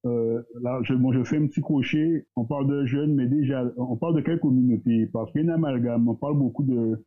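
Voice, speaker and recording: Guy in his 30s, sounds like a phone call, mid pitch, thinks out loud with lots of euhs. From Guadeloupe. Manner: thoughtful, hesitant, with many pauses and fillers. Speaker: guest, entrepreneur. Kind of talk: casual discussion. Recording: tinny phone-like line.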